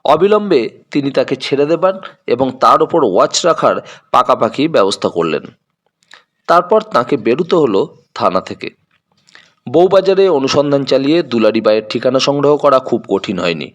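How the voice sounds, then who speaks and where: A man's voice: medium pitch, expressive, moderate pace. A man narrating a scripted detective audio story in a dramatic storytelling style.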